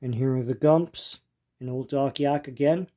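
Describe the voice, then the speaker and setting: An older man giving casual, unscripted show-and-tell commentary as a host, in a halting, musing and informal way. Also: mid-range voice, steady tone, warm tone. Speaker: host leafing through vintage comic strips.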